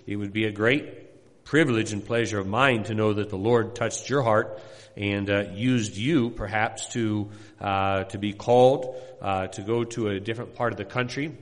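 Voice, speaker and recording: A middle-aged man from Indiana, a missionary pastor, introducing himself to a congregation in a folksy, rambling way with many pauses. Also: fairly low pitch, in a large hall. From Indiana, USA.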